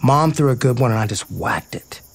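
An actor playing a playful, sincere baseball player, casual and earnest in a naturalistic audio drama. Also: male voice, medium pitch, animated, moderate pace, slightly rough. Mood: nostalgic, sincere.

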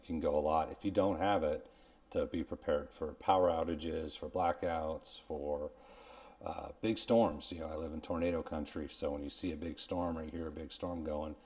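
A man's voice: very deep voice, dark tone, distant mic. A man in his forties hosting a casual product review to camera, explaining things in a plain-spoken way. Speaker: host. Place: indoors, small room at home. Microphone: clip-on lavalier on shirt.

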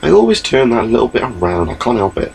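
A man's voice: mid-range voice, big pitch swings, fast, vocal fry, darkish tone, a little hiss or hum. A young man giving a rambling, chatty webcam update from his small bedroom, recorded on his laptop mic. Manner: rambling, chatty, gestures a lot. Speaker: vlogger.

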